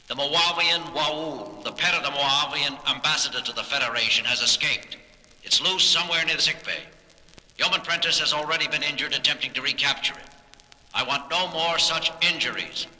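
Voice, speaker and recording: An actor playing a commanding male starship captain who talks in a brisk way, giving orders, in a 1970s audio drama. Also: on the high side, sharp tone, low-quality recording.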